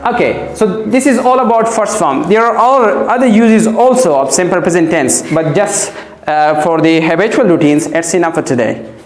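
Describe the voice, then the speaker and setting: A man's voice: sits high, animated, normal speed. A man giving a classroom grammar lesson as a teacher, repeating key phrases and checking that pupils follow. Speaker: English teacher. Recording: echoey classroom.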